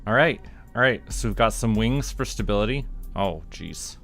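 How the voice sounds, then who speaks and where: A man doing relaxed live game commentary, musing aloud in a halting, unhurried way. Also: fairly low pitch, vocal fry, faint music behind. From Canada. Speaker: game streamer.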